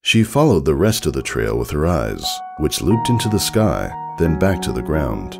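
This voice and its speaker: A man reading scripted story narration with dramatic, measured phrasing. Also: a bass voice, very animated, music in the background. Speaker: fan fiction narrator.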